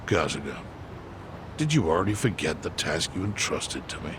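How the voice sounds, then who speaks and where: An actor playing a calm, calculating older chairman in an English video game dub, gravelly and measured, laying things out with dry bluntness. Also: rumbling low, noisy background. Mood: wry.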